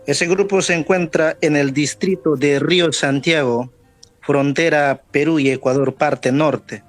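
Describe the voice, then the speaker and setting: A man from the Peruvian Amazon, Wambisa his first language, speaks a little higher than most men. He tells his story carefully and haltingly, stopping to find his words. Faint music sits behind him. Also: fairly even intonation, dry recording. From Amazonas, Peru. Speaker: guest. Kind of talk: personal storytelling. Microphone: video call.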